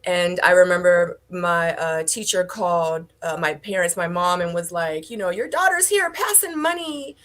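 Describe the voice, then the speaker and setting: A woman's voice: lowish voice, expressive, normal speed, few pauses, fairly bright tone. Woman guest telling her life story, full of ums. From born in South Korea, raised in the United States. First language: Korean. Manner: hesitant, many ums, laughs easily. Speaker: interview guest. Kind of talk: casual interview conversation.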